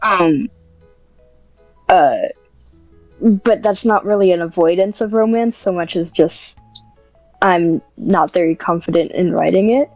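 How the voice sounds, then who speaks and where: A woman's voice: lowish voice, unhurried, long pauses, a little mellow, no echo, dull audio, soft background music. A woman podcast guest chatting casually and hesitantly, thinking aloud as she answers. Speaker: podcast guest and script writer. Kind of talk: casual interview chat.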